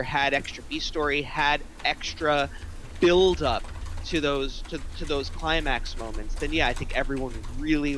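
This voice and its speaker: Male, medium register. Thoughtful group-critique delivery, analytical and halting, slightly noisy recording. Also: mid-range voice, fairly bright tone. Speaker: script reading participant giving notes. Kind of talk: casual group feedback discussion.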